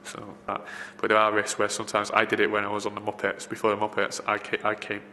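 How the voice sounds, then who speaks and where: Man, low flat voice, rambling with fillers, roomy reverb, faint hiss. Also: fast. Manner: rambling, frequent fillers and restarts. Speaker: film location assistant. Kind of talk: casual interview answers. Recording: reverberant room, faint background noise.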